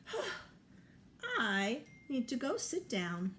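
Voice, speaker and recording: A woman's voice: fairly high pitch, big pitch swings, slow pace, fairly bright tone, a little hiss or hum, full character voice. A woman voicing a curious young girl who talks in a chatty, pleased way. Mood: pleased, sleepy.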